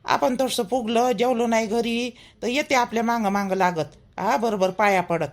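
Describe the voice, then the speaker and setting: A woman's voice: on the high side, steady tone, normal speed, natural voice. A woman playing a protective middle-aged village mother-in-law in a cartoon, chatty and emphatic, with a Vidarbha Marathi accent. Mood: reassuring, firm. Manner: chatty, emphatic, repeats herself. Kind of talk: homely cartoon drama dialogue.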